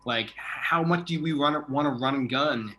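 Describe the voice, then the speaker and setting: A man's voice: mid-range voice, normal speed, bright, forceful tone, slight background noise, rough recording. A man hosting a casual interview in an informal, chatty, effusive way. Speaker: interview host, theater artistic director.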